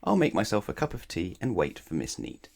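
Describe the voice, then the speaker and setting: A man's voice: on the low side, wildly swooping pitch, fairly quick, gravelly, darkish tone, exaggerated voice. A man voicing a cheerful, muddle-headed storybook fellow, amiable and oblivious. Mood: untroubled, calling out.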